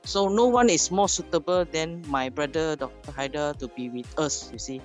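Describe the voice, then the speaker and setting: A woman from Malaysia talking casually on a business panel, often pausing to search for her words. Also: low-pitched, faint music behind.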